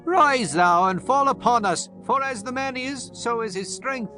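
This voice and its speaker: Defiant warrior of antiquity: high, forceful, loud declamation for scripture audio drama. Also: male voice, measured pace, soft background music, full character voice. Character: defiant, proud ancient male warrior.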